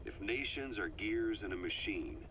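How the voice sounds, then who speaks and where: A man playing a businesslike middle-aged military comrade in a video game, gruff and matter-of-fact, on a dull tape recording. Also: fairly low pitch, very animated, normal speed. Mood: grave, explanatory. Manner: matter-of-fact, conversational, terse. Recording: dull cassette-tape sound with hiss.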